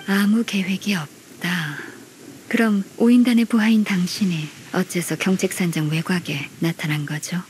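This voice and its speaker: A woman voicing a polite, dutiful young secretary in an anime-style game, formal, measured and firm. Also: fairly low pitch, darkish tone, a little hiss or hum, close to an everyday voice. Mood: stern, interrogating.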